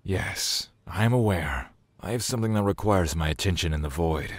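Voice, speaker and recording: A man's voice: sits low, lively intonation, normal speed, no echo. An actor voicing a brooding, irritable male god of the void, curt, dry and sardonic, in an audio drama. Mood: flat, unbothered.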